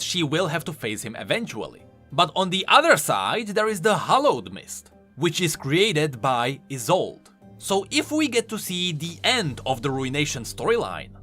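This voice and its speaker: A man's voice: a little high, bright, forceful tone. A man giving scripted, explanatory video commentary on game lore, stressing key words emphatically.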